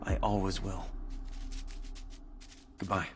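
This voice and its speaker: An actor playing a weary, guilt-ridden former policeman in a video game, speaking in a halting, self-deprecating way. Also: deep voice, level tone, over music. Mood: regretful, resigned. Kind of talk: naturalistic video game acting.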